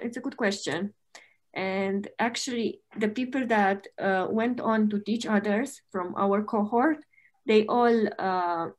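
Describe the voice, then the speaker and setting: A woman speaking thoughtfully with some fillers as a panelist, on a video call from a bedroom at home. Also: medium pitch. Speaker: conference panelist, trainer. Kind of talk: unscripted panel answer. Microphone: video call, laptop camera.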